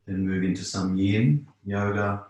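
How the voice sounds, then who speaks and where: Male yoga teacher, deep voice, calm guided-relaxation delivery with long pauses, recorded in a very reverberant room. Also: a little hiss or hum. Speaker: yoga instructor. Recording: very echoey room.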